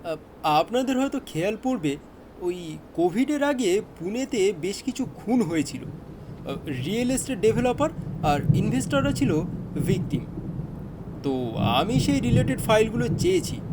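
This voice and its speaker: A man as a high-voiced, animated police inspector. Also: unhurried, noisy background. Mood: hesitant, earnest. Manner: hesitant, explanatory, respectful. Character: deferential, diligent local police inspector giving report. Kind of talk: Bengali audio detective drama acting.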